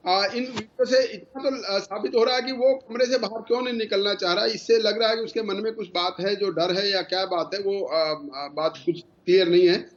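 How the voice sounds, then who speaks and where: High-voiced older man, dull lo-fi feed. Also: steady tone, moderate pace, few pauses. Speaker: former police officer, news panel guest. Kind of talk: measured live panel commentary.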